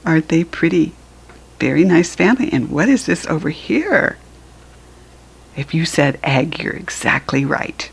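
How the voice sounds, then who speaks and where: Slow-paced American woman reading to children. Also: low-pitched, sing-song, vocal fry, husky. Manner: simple, instructive, addressing small children; acts out animal sounds while reading. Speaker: children's book reader. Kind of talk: read-aloud storytelling for young children with asides.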